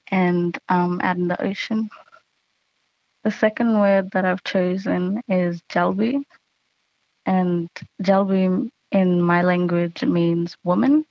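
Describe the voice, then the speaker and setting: A young Australian woman in her late teens talks slowly and earnestly in a flat, level tone. Her relaxed delivery has casual fillers. The recording is dry and slightly dull. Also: fairly low pitch, dry recording. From Queensland, Australia. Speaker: student sharing words of her language. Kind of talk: personal talk.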